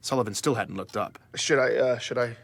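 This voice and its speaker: An actor playing a nervous investigative journalist in a scripted first-person audio drama. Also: male voice, mid-range voice, gravelly. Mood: nervous, hesitant. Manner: measured narration, hesitant in dialogue. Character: nervous male investigative journalist narrating his own story. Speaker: narrator.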